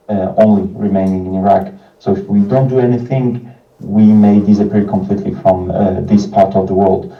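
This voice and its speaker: A man, giving an informal prepared talk in a halting, hesitant way with frequent pauses. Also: lowish voice. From France. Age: 30s. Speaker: non-profit organization founder and representative. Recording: muffled, distant, echoey video playback.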